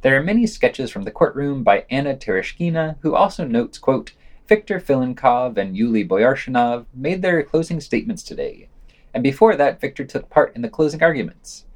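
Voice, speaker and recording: A man's voice: medium pitch, lively intonation, moderate pace. A man reading a scripted podcast news digest and quotes, with wry, sardonic commentary.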